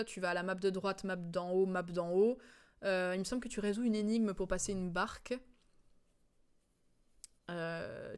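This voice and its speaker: A woman's voice: fairly low pitch, clean tone. A woman streamer chatting casually and digressively as she recaps a video game's story, with a giggly manner. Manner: chatty, digressive, giggly, acts out quotes in slang.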